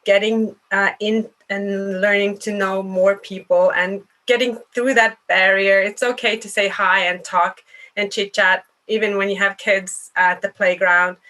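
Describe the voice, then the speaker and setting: A woman's voice: medium pitch, flat delivery, measured pace, pushed and bright, poor audio. A woman from Norway, co-hosting a podcast in a friendly, chatty way, sometimes searching for words. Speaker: podcast co-host. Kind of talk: casual conversation.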